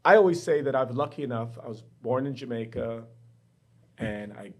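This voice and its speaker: A middle-aged man from the Bahamas speaks as a professor guest in a candid interview. He tells his own story in a reflective, personal way, at an ordinary pitch for a man. He is recorded in a university studio. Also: expressive, fairly quick, darkish tone.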